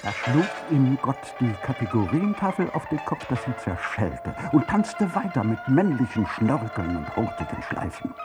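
Theatrical male narrator, strongly swooping pitch. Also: very animated, normal speed, hardly any pauses. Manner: theatrical, declamatory. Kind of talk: expressive scripted narration of an avant-garde radio play. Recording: music behind the voice.

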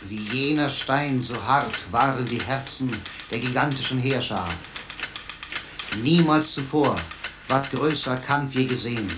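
Schauspieler als deklamierender Dichtcomputer, rauschend und klickend. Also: male voice, medium pitch, background noise, natural voice. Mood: solemn, bombastic. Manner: declamatory, measured verse delivery. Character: versifying computer, a male machine reciting bombastic, amorous poetry. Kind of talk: declaimed verse in radio drama.

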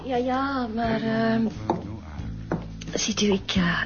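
An actress playing a nervous novice model with a Flemish accent in a radio drama, hesitant and stammering. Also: female voice, on the high side, takes it slowly, dull audio, music in the background. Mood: shy, hesitant.

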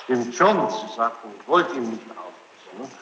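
A man questioning a witness formally and insistently, in long, halting sentences. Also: mid-range voice, very animated, fairly bright tone, highs cut off. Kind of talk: formal courtroom-style questioning. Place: large reverberant room. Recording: noisy echoing archival tape of hearing.

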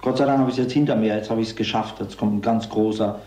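A man's voice: lowish voice, fairly even intonation, quick pace, dark tone. A man commenting spontaneously and humorously on music, imitating it with syllables here and there. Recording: echoey room.

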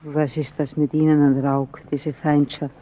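A woman's voice: low-pitched, flat delivery, measured pace. An actress playing a wary, frank and probing young woman in a German radio drama, on a dull old recording.

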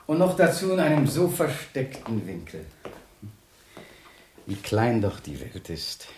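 Slow, suave, sinister gentleman doctor. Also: mid-range voice, huge pitch swings, slight background noise. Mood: mock-genial. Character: sinister, mockingly courteous gentleman doctor and husband. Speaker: radio drama actor. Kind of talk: 1970s German radio drama acting.

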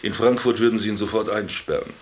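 A lowish male voice from an actor plays a wry, worldly man stranded in a remote camp. He talks in an ironic, condescending radio-drama manner, fond of hearing himself explain things. Some background ambience sits behind the voice. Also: steady tone, quick pace, slight background noise, natural voice. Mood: mocking. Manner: ironic, patronizing, addresses the other formally. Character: Philipp, stranded ex-businessman, wry, garrulous, cynical camp companion.

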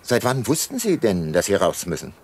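A man's voice: fairly low pitch, big pitch swings, normal speed, slightly rough, exaggerated voice. An actor playing a sombre, reflective older detective who narrates his own radio drama. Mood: brooding, reflective. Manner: reflective, sober. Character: brooding, sombre aging private detective narrator. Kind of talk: first-person radio drama narration and dialogue.

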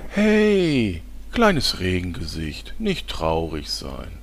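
A man playing a slick, flattering showbiz director in a parody audio drama, cajoling and gushing. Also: sits low, drawn-out words, gravelly, a little hiss or hum, exaggerated voice. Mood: coaxing. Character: slick, flattering showbiz director coaxing his villain star.

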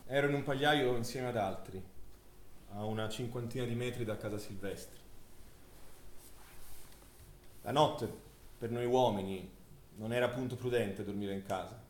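A male actor plays a grim survivor bearing witness to a massacre on stage. He speaks in a halting, emphatic monologue, with a middle-pitched voice in a very reverberant space with faint noise. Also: lightly acted. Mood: grave, tense. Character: male survivor giving grim eyewitness testimony of a wartime massacre. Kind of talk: theatrical documentary monologue. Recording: echoey, distant stage recording with hiss.

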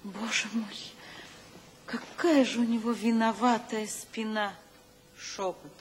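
A woman's voice: fairly high pitch, steady tone, slow. An actress playing an anguished, scornful educated lady in a theatrical radio drama. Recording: hall reverb, faint noise.

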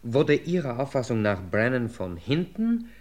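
An actor playing an eager, joking young police assistant in a vintage radio crime drama. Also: male voice, medium pitch, big pitch swings, unhurried, darkish tone. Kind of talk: 1950s radio play acting.

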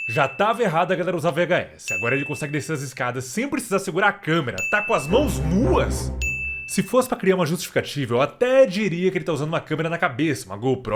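A man's voice: on the high side, background noise. A man with a Brazilian accent doing sarcastic, slangy, mock-indignant comedic commentary on a video game. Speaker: gaming video narrator.

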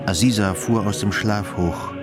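A man narrates a literary radio play in a low voice. His reading is scripted storytelling, set over clearly audible music. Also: steady tone, a little slow.

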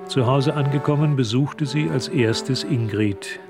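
Male actor as radio drama narrator, mid pitch, sober, measured scripted reading, loud music underneath. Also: natural voice.

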